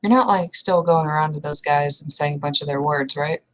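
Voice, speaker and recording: A young woman with a slightly low voice talks through an improvised roleplay scene. She speaks casually and haltingly, restarting sentences and trailing off as she goes. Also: rough recording. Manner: casual, hesitant, trailing restarts with mild swearing. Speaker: roleplay player. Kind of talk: improvised in-character roleplay conversation.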